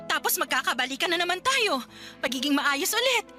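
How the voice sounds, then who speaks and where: A woman's voice: sits high, sing-song, brisk, sharp tone, soft background music, heavily acted. A woman acting a frustrated young woman in an emotional radio drama argument with her boyfriend. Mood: arguing, bitter. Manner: emotional, pleading and accusing.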